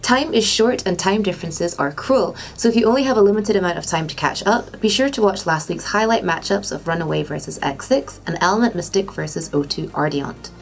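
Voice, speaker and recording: A woman with a slightly low voice presents scripted gaming news. She narrates as an esports news presenter, with light music playing behind her. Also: brisk, creaky, hardly any pauses.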